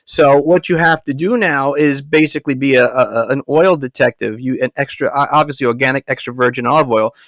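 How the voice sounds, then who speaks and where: A man's voice: mid-range voice, brisk, hardly any pauses, a bit bright, no echo, studio quality. A man hosting his own podcast, talking in a fired-up, stop-and-start way, often repeating words as he restarts a thought. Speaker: podcast host. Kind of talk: casual solo podcast rant.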